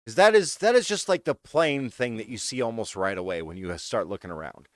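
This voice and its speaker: A man's voice: mid-range voice, lively intonation, no room sound, studio quality. A man narrating a tabletop game as game master, hesitant and full of fillers. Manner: halting, unhurried narration with many fillers.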